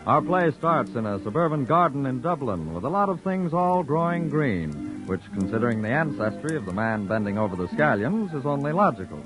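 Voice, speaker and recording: A male radio announcer giving formal, measured broadcast credits and introductions. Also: mid-range voice, big pitch swings. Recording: dull old radio broadcast with music.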